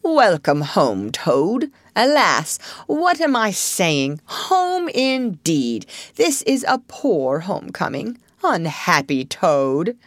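A solemn, gloomy old badger from a storybook, voiced by a woman. He speaks very slowly and portentously, drawing out each word. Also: fairly low pitch, sing-song, no echo, full character voice. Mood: solemn gloom. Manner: portentous, slow, drawn-out. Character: gruff, solemn, gloomy older male badger.

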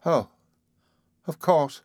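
A man's voice: medium pitch, fairly quick, dry recording, lightly acted. Man putting on a young woman nurse, flustered, stern, reproachful, storybook character, dry close recording. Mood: hysterical.

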